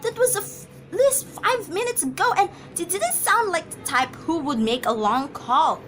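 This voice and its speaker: A woman's voice: very high voice, lively intonation, normal speed, a bit bright, soft background music. A woman acting an anxious, imperious, easily flustered wife in an amateur radio drama, agitated and stammering. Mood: distressed.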